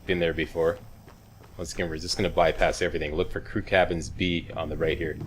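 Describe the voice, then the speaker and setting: A man with a very deep voice narrates a game walkthrough. He is casual and laid-back, handing out short step-by-step directions, with game ambience clearly audible behind him. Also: level tone, fairly quick. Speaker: gaming video host. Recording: clear background game noise. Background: video game ambience.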